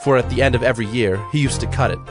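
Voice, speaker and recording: A man's voice: medium pitch, very fast, over music. A man reading scripted Bible narration as the narrator of a dramatized production.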